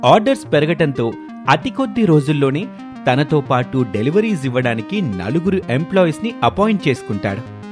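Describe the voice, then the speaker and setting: A man narrating a scripted moral story as a storyteller. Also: on the high side.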